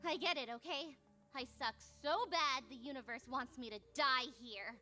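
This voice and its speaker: A woman's voice: high voice, moderate pace, bright, forceful tone, soft background music, pitched above the performer's own voice. A woman voicing a hot-tempered, self-pitying young magical girl from a visual novel, dramatic and exasperated. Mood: despairing. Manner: dramatic, sarcastic, exasperated outbursts. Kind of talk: visual novel character voice acting.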